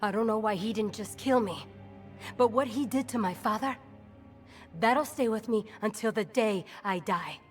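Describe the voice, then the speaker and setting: A woman's voice: on the high side, moderate pace, soft background music, lightly acted. An actress playing a guarded, defiant young woman survivor in a video game cutscene, curt and hard-edged in her delivery. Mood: bitter, grieving.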